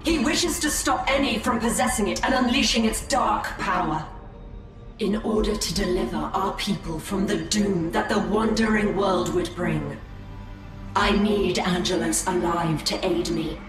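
A woman playing a proud, calculating alien seer in a video game, speaking formally and calmly. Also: mid-range voice, measured pace, close to an everyday voice. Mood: calm resolve. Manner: formal, stately. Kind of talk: video game cutscene acting. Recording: very echoey, with music behind.

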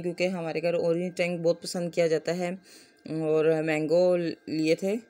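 A woman's voice: fairly low pitch, a little mellow. A woman with a Pakistani accent giving a casual, chatty vlog voice-over, explaining everyday family life in run-on storytelling. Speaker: family vlogger narrating her day.

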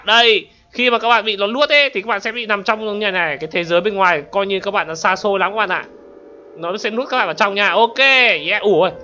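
A man giving excitable gameplay commentary as a channel host, often exclaiming and addressing his viewers. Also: high-pitched, dull audio.

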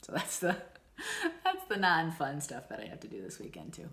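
Woman, 40s, low voice, stuffy nose, rambling home vlog chat. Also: huge pitch swings, moderate pace, fairly bright tone. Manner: chatty, rambling, frequent ums and laughs. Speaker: stitching vlogger. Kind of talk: casual chat to camera. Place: small room at home, indoors. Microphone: camera in front at desk distance.

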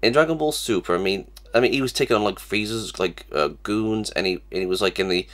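A man's voice: lowish voice, quick pace, a bit bright. Sniffly stammering young man with a cold. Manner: stammering, many restarts and fillers. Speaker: reaction channel host. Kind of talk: casual reaction vlog. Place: small cluttered room indoors.

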